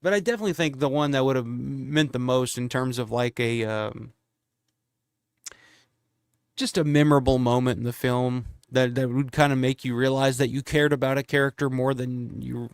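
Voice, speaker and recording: A man hosts a casual live stream while congested and losing his voice a little. He rambles, restarts and fills gaps with ums and likes. His voice is smooth and resonant, recorded dry and close. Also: mid-range voice, no echo. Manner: rambling, many fillers and restarts.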